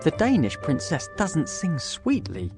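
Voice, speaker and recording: A man acts out a picky young prince from a children's tale. His fairly high voice moves in lively swings as he frets and complains about finding true love. Music plays clearly behind him. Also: measured pace. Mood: fussy complaining. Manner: fussy, complaining. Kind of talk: scripted children's story dialogue.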